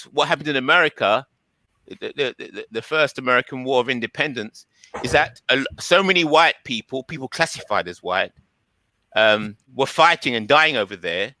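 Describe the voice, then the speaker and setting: A man in a casual conversation explaining his views at length, haltingly and often repeating words. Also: mid-range voice, bright, forceful tone, dry recording.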